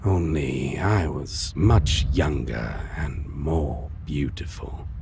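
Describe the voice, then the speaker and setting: A man voicing an arrogant, taunting vampire in a dark fantasy audio roleplay, savoring his words theatrically with sinister amusement. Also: slow, noisy background, full character voice. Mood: gloating, taunting. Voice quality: deep, gravelly, breathy at times. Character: taunting, arrogant male vampire lord, formerly a hunter.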